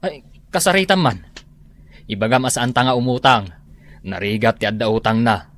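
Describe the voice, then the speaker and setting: A man's voice: mid-range voice, normal speed, fairly bright tone, no room sound, lightly acted. A man playing a stern elder brother who scolds his siblings in an Ilocano radio drama. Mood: wary.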